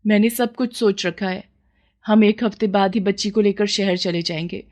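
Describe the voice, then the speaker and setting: A woman's voice: mid-range voice, steady tone, normal speed, a little mellow, lightly acted. Woman playing childless village wife, yearning, defensive, pleading, moral-story drama.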